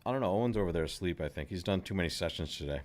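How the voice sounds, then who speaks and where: A man from upstate New York hosting live bridge commentary, jokey and excitable with quick asides. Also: sits low, a little hiss or hum.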